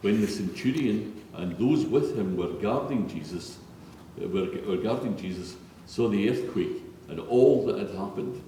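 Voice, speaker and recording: A man's voice: on the low side, animated, unhurried, dark tone. A man narrating scripture solemnly as a reader in a church. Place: large echoey church interior. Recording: distant, very echoey church, faint noise.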